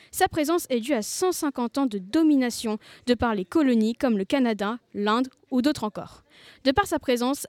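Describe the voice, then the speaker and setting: A woman's voice: on the high side, darkish tone, no room sound. A young woman reading a prepared school radio report aloud, careful and hesitant, with occasional fillers. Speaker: student presenter.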